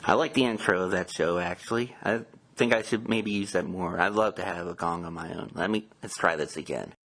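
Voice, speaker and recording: A man's voice: deep voice, fairly quick, a bit bright. A man hosting a show in a casual, chatty style, introducing programmes. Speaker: podcast host.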